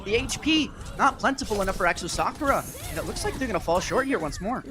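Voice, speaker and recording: A man's voice: high voice, animated, fast talker. A man giving live play-by-play esports commentary in a conversational style with wry phrasing. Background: music.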